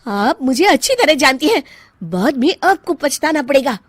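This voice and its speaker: A woman's voice: high-pitched, very animated, normal speed, dry recording, clean studio sound, faint music behind, pitched above the performer's own voice. A woman voicing a spoiled young daughter-in-law in a cartoon moral story, whiny and melodramatic. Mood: indignant.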